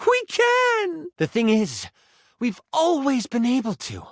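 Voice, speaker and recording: A man's voice: very high voice, huge pitch swings, dry recording, clean studio sound, voice pushed up, full character voice. Man voicing an evil gloating enchantress, theatrical and swooping. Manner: theatrical, drawn-out monologuing. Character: evil gloating enchanter woman fused with djinn.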